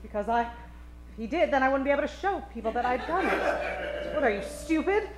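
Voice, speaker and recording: A woman's voice: high voice, animated, moderate pace, very noisy. A woman playing a haughty, scheming client in an old-time radio detective parody. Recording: roomy stage, faint music.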